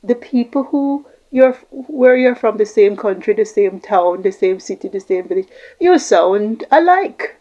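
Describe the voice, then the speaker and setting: A woman's voice: medium pitch, lively intonation, a little slow, few pauses, warm tone. Woman coaching, lo-fi sound. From Jamaica. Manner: rambling, builds long lists of examples. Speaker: communication coach. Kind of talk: unscripted talk to camera. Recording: slightly dull lo-fi recording.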